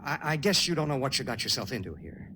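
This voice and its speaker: An actor playing an earnest, troubled spaceship pilot in a 1950s radio drama, sounding strained and sometimes hesitant. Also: male voice, medium pitch, sing-song, fast talker. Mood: grave, regretful. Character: troubled, conscientious male spaceship dispatch pilot. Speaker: actor narrating and playing the lead. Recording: noisy remastered vintage radio broadcast.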